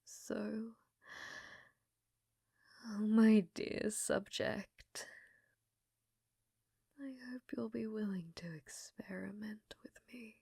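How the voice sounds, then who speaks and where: A woman voicing an unhinged, infatuated scientist in a hushed, breathy ASMR roleplay, clinical yet flirtatious and often whispering. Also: medium pitch, fairly even intonation, drawn-out words, long pauses, no echo, clean studio sound, heavily acted. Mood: earnest, coaxing. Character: unhinged, infatuated female scientist studying a captive alien.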